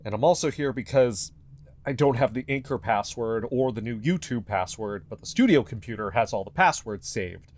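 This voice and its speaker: Man acting anxious fugitive podcaster, swinging pitch. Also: animated, a little mellow, close to an everyday voice. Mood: resigned, uneasy. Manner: halting, muttering, talking to himself. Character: anxious fugitive male conspiracy podcaster, earnest and paranoid. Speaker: investigative podcast host in an audio fiction. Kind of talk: audio drama found-recording monologue.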